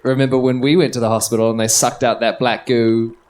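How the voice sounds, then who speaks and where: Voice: medium pitch, little pitch movement, brisk, a little mellow. A person with a New Zealand accent bantering casually as a player in a live tabletop game. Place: live on stage at a festival club.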